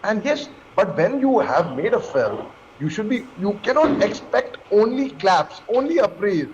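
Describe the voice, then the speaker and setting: A man with an Indian accent giving his opinion as a guest on a news debate, speaking emphatically and haltingly with repeated phrases. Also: high-pitched, sing-song. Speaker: panel guest commentator. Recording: dull lo-fi call audio, clear noise.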